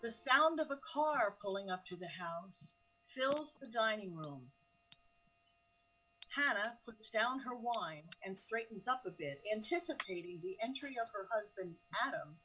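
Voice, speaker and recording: A woman's voice: mid-range voice, sharp tone, a little hiss or hum, rough recording. A woman narrating a radio drama, reading scripted stage directions. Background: music.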